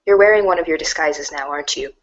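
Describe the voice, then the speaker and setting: A woman playing a sardonic, deadpan teenage friend in a dramatized online chat reading. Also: low voice, fast, a little hiss or hum, lightly acted. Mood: dry, teasing. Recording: dull lo-fi audio.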